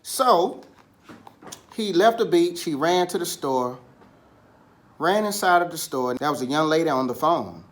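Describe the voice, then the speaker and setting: A man telling a children's story in an animated style, with dramatic pauses and drawn-out, emphatic words. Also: on the high side. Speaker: storybook reader.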